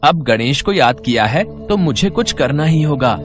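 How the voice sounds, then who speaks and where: A man voicing a cheerful, kindly young child god in a devotional animated story. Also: a little high, moderate pace, over music, lightly acted. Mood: benevolent.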